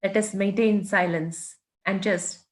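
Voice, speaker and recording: Measured Indian-accented woman guiding meditation. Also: mid-range voice, steady tone. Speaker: meditation guide. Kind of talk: guided meditation instructions.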